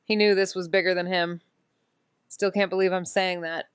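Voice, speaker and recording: A woman's voice: on the low side, little pitch movement, normal speed, smooth, no echo, studio quality, close to an everyday voice. A woman playing a wry, blunt amateur sleuth in a noir audio drama, casual and sardonic in manner. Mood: insistent.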